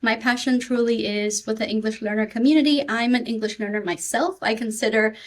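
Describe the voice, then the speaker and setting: A woman's voice: fairly high pitch, fairly even intonation, a little fast. A woman from Peru, a Spanish speaker with a slight Peruvian accent, speaking earnestly as a guest on a podcast interview with frequent ums. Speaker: school district supervisor, guest.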